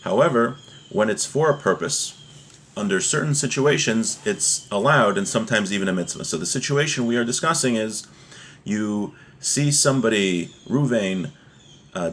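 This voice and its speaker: Man, lowish pitch, religious law teacher, informal class, explanatory, example-driven. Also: a bit bright. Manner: explanatory, with everyday examples and check-in questions.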